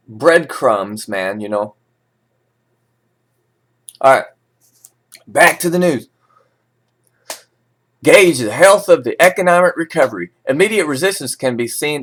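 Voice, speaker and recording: A man reading financial news as an informal host, breaking into sarcastic, opinionated and folksy asides. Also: mid-range voice.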